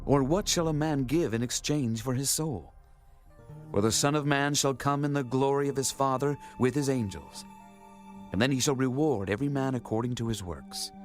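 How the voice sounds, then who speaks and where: A man's voice: medium pitch, moderate pace, faint music behind, natural voice. A man playing an authoritative holy teacher in a dramatized scripture reading, solemn and commanding in archaic English. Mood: grave teaching. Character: Jesus, authoritative adult male teacher and messiah.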